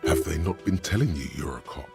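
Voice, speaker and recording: An actor voicing a grave, erudite inner voice of a detective's mind, gravelly and solemn, narrating a video game. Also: male voice, extremely low pitch, expressive, fairly quick, exaggerated voice. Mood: probing. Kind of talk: scripted literary game narration. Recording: music behind the voice. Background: game music.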